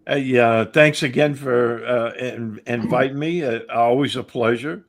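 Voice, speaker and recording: A man appearing as a guest football writer, talking in a halting way with many fillers. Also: medium pitch. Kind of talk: casual sports talk.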